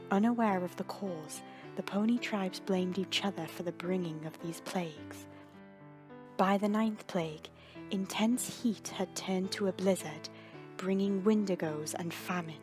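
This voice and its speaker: A woman's voice: lowish voice, unhurried. A woman reads scripted storybook narration in a dramatic, solemn storytelling manner. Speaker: narrator reading fan fiction. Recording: music under the voice. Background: background music.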